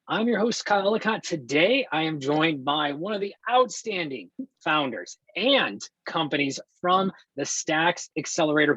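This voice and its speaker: A man hosting an interview, introducing his guest in a friendly, measured way. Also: on the high side, fairly bright tone, no room sound, dull audio. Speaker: podcast host.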